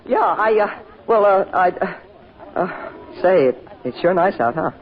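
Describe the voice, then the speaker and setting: A man's voice: high-pitched, expressive, measured pace. An actor playing an earnest, bashful teenage boy with an American accent in an old-time radio drama, speaking in an awkward, hesitant, boyish way. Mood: nervous, bashful. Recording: dull, noisy old radio recording.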